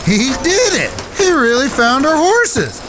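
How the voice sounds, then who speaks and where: An actor playing a brash, hot-headed outlaw with folksy, profane talk in an Old West audio drama. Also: male voice, very high-pitched, huge pitch swings, a little slow, background noise, exaggerated voice. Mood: overjoyed. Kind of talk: western audio drama acting.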